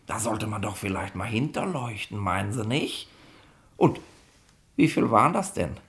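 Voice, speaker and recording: An actor playing a gruff, officious police inspector in a 1960s radio play, pedantic and sarcastic in colloquial bureaucratic bluster. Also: male voice, on the low side, lively intonation, moderate pace, a bit bright. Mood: suspicious, deliberate.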